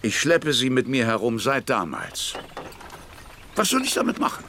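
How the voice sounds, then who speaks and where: An actor playing a warm, garrulous old staff captain in a German radio play, effusive, agitated and easily hurt. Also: male voice, medium pitch, wildly swooping pitch, moderate pace, slight background noise, full character voice. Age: older.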